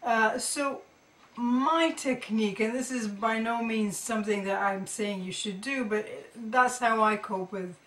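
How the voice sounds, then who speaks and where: A woman's voice: a little high, unhurried, fairly bright tone. A middle-aged woman teaching informally to camera, thinking aloud and often rephrasing as she explains. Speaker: art teacher presenting tips to students. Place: indoor room with carved wooden panelling. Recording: roomy echo, distant microphone.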